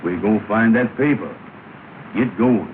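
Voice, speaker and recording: A man's voice: on the low side, unhurried, close to an everyday voice. An actor playing a bossy, falsely confident man who keeps giving orders and reassurances, in a 1930 film comedy. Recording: scratchy tinny 1930 film soundtrack with hiss.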